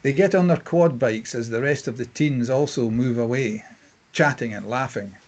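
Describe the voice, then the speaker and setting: Husky man narrating a screenplay. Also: medium pitch, moderate pace, a little mellow. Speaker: narrator reading stage directions. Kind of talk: scripted screenplay narration, present tense.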